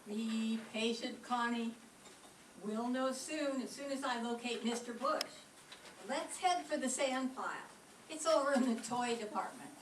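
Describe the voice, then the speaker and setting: Lady doing a helpful landlady in radio comedy, bit high, roomy sound w/ faint noise. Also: measured pace, close to an everyday voice. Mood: obliging. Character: cheerful, helpful landlady, Missus Davis. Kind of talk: old-time radio comedy acting. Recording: echoey room, distant mic, faint noise.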